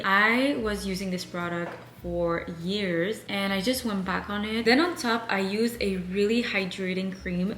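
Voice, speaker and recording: A woman's voice: medium pitch, fairly bright tone, reverberant, slight background noise. A woman in her twenties, a native French speaker from Canada, chatting casually as a vlogger answering viewer questions. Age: 20s. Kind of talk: casual chat while decorating.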